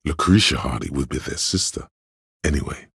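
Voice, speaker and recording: A man voicing a detective's pretentious, arty inner voice for a video game, theatrical and deliberate, with a gravelly, husky tone. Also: extremely low pitch, fairly bright tone, no echo, studio quality, exaggerated voice. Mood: wry, reflective. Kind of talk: video game narration, second-person commentary.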